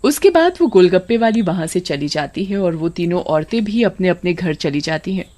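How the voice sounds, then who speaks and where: A woman's voice: on the low side, expressive, normal speed, darkish tone. A woman storyteller reading scripted narration for a village tale.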